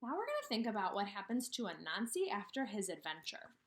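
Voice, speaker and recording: Expressive woman teaching young children. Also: mid-range voice. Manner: questioning, pausing for children to answer; simple, deliberate, repetitive phrasing for small children. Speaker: kindergarten teacher. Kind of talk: read-aloud lesson for young children.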